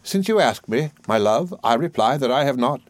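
A man's voice: medium pitch, lively intonation, moderate pace, no echo, pitched above the performer's own voice, natural voice. A man reading aloud, voicing a fussy, garrulous older mother who is pleased with herself. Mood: coaxing. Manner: gushing, emphatic, self-important.

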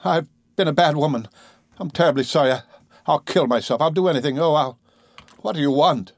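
A man's voice: a little high, brisk, dry recording, clean studio sound, pitched above the performer's own voice. A man reading an audiobook voices a self-pitying woman who pleads and whimpers. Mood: pleading. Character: shrill, self-pitying woman, scolding then groveling.

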